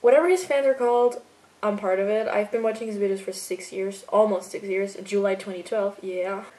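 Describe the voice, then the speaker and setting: A woman's voice: mid-range voice, steady tone, brisk, warm tone, low-quality recording. Chatty woman, filler-heavy. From Austria. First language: German. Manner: chatty, filler-heavy with frequent "like", laughs easily. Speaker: fan video editor answering viewer questions. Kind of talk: casual Q&A vlog.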